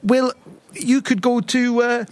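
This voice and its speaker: A man's voice: extremely high pitch, level tone, slow pace, smooth. A man playing an improvised tabletop game, bantering casually in character with a dry, wry delivery. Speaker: player in a tabletop roleplay podcast.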